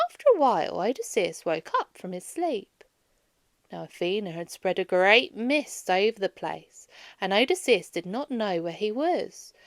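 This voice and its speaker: A woman reading a children's story aloud in an expressive storyteller's way, dramatizing as she goes. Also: medium pitch, no room sound, clean studio sound. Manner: animated, dramatizes dialogue.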